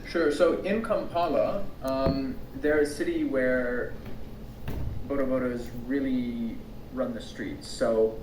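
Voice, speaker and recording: A man's voice: mid-range voice, takes it slowly, background noise. American guy who grew up in tanzania, chatty researcher, lots of ums, far off mic in an echoey room. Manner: relaxed, hesitant with many ums and restarts. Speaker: researcher guest. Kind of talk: casual conversational interview answers. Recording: distant, echoey remote recording.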